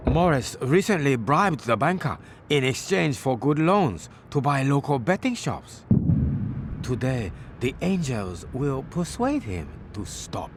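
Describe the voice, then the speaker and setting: An actor playing a commanding, vengeful gang boss giving measured, formal orders in a game cutscene. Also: male voice, medium pitch, big pitch swings, background noise. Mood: calculating. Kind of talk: dramatic game cutscene briefing.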